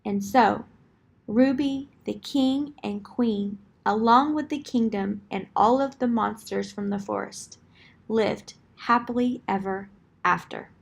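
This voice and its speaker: A woman narrating a children's fairytale in an expressive storybook style, with deliberate dramatic emphasis. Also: medium pitch, gravelly.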